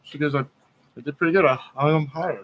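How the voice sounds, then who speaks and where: A man with a somewhat high voice, telling loose family stories on a podcast. He wanders through his sentences and starts them over again and again. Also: a little hiss or hum, poor audio. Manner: rambling, halting restarts. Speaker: podcast co-host. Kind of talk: casual chat, storytelling.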